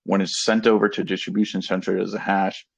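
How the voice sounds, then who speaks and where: Male executive, 30s, low and gravelly, rambling and halting investor update, muffled conference-call sound. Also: level tone. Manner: halting, rambling, repeats and rephrases himself. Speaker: company executive. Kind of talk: informal business talk to investors on a conference call. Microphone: conference call line. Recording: dull, lo-fi conference call audio.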